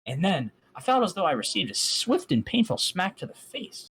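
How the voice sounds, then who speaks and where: A man reads a story aloud to friends on a voice call. He keeps stopping to mock the text with dry, sarcastic remarks. His pitch stays lively and jumps around through the sentences. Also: moderate pace, a little hiss or hum. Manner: mocking, sarcastic asides. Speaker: fan fiction reader. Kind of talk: reading aloud to a voice chat.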